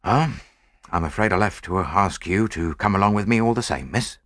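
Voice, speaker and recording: A man's voice: low voice, expressive, normal speed, fairly bright tone, dry recording, studio quality. A man playing a practical, authoritative English police inspector in a storybook reading, plain-spoken and businesslike. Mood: grave.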